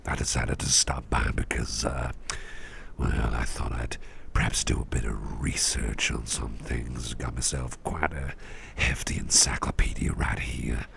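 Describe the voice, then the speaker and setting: Male actor as eager, courteous boss for ASMR roleplay: rock-bottom pitch, slow, hushed, gravelly delivery with gentle rambling. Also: steady tone, slight background noise, exaggerated voice. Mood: friendly, curious. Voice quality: very deep, gravelly, breathy half-whisper. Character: eager, friendly male boss researching mythical artifacts. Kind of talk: soft, intimate ASMR roleplay, half-whispered.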